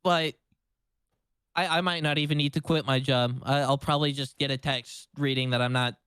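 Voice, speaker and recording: A man's voice: mid-range voice, steady tone, normal speed, fairly bright tone, smooth, no room sound, studio quality. A man co-hosting a casual livestream, joking in a wry, self-deprecating way and often stammering over his words. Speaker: livestream co-host.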